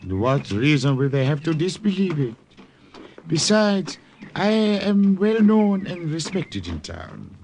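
An actor playing a wily middle-aged village priest in a 1940s radio drama, with a calm, persuasive manner. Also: male voice, a little high, slow, exaggerated voice. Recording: old radio broadcast with faint hiss.

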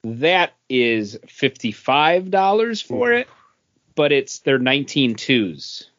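A man of average pitch chats casually as a podcast co-host, his intonation moving up and down in a lively way. The recording is dry and a little lo-fi. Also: slow, no echo. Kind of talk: casual chat.

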